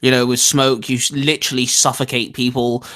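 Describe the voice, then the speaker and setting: A man's voice: medium pitch, little pitch movement, fairly quick, fairly bright tone. A young man co-hosting a casual video game discussion, explaining his points conversationally and now and then groping for a word. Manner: explanatory, groping for words, tags points with "you know". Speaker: co-host.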